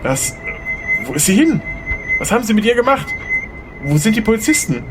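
A man's voice: high voice, sing-song, a little fast, over music. An actor playing a confused, sarcastic man on the run in a radio play, wry and exasperated. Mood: frantic.

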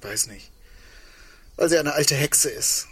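An actor playing a brooding, sardonic man in a radio drama, breathy and dryly questioning. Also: a little high, slow, pushed and bright, exaggerated voice. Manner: questioning, dry. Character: probing, brooding, sardonic man awaiting the end. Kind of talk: radio drama dialogue acting.

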